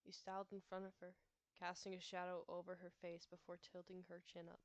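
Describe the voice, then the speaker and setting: A woman's voice: on the low side, monotone, brisk, dry recording. A woman with an American accent reading a visual novel aloud, stumbling over names and breaking off to chat. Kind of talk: reading game text aloud with chatty asides. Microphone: laptop microphone. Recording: dull lo-fi audio with game music behind.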